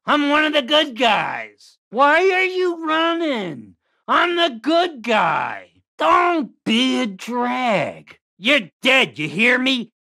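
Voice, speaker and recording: A murderous living doll for a video game, taunting and aggressive. The voice is fairly high and swings widely in pitch, with a rough creak running through it. It is brash and mocking, with drawn-out, unhurried delivery. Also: female voice, sing-song, pushed and bright, full character voice.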